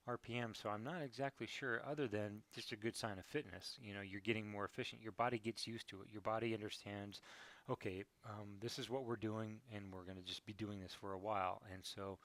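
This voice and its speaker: A man's voice: fairly low pitch, a bit bright. An American man speaking casually and encouragingly as a cycling coach, thinking aloud as he reviews training data.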